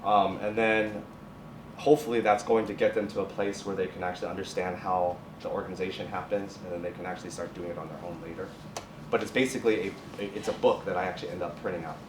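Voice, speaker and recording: A man's voice: low voice, fairly even intonation, quick pace. A man speaking informally as a teacher presenting to a group, often restarting and correcting his phrases. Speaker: high school biotech and science teacher, guest speaker. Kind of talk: informal presentation with question and answer. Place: classroom or lab at a college. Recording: echoey room, distant mic, faint noise.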